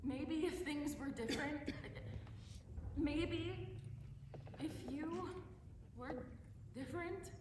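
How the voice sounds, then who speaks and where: A young woman performing a dramatic monologue as a remorseful mother writing to her estranged son, speaking haltingly with stumbling restarts. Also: high-pitched. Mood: regretful. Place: competition room, indoors. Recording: echoey room, distant mic, faint background hum.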